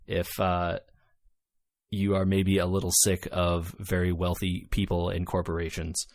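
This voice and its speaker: A man co-hosting a podcast, chatting casually about video games and retelling things in an animated way. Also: low-pitched, a little slow, dry recording, clean studio sound. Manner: animated retelling, acting out quotes, laughing often. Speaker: podcast co-host.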